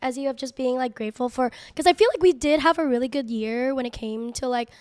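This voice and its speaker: Hoarse young woman with a high voice. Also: fast, a little mellow, dry recording. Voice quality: raspy. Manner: chatty, many "like" fillers. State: hoarse from coughing. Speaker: podcast co-host. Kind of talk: casual chat. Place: living room set with pink couch.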